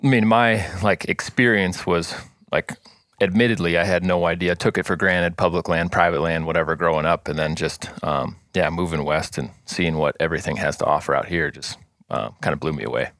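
A deep-voiced man talks loosely as a podcast guest, circling his points with fillers and restarts. The sound is close and dry, with no room in it. He comes from South Dakota. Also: fairly even intonation, a little fast, a bit bright, no echo. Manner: rambling, many fillers and restarts. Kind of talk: casual interview chat.

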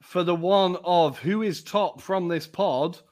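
A young man hosting a casual football podcast, chatty and jokey with lots of ums, his voice a little raspy. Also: high voice, slow, darkish tone. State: slightly raspy voice. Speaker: podcast host.

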